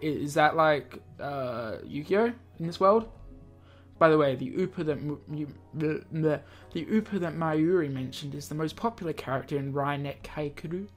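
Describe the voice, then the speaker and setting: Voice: mid-range voice, moderate pace, soft background music. A video game host reading a visual novel aloud, stumbling over words and dropping in jokey offhand comments. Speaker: let's play video host.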